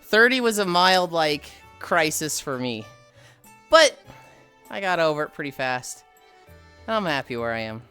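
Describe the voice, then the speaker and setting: Tired, sardonic woman streamer, lively pitch. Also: measured pace, long pauses, clean tone, soft background music. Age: 30s. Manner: sighing, sardonic, swears freely. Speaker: livestreamer. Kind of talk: casual stream chat.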